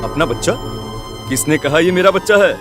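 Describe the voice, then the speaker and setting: A man's voice: on the high side, lively intonation, normal speed, soft background music, natural voice. A man playing a cruel, possessed village husband, taunting and menacing, in a horror story.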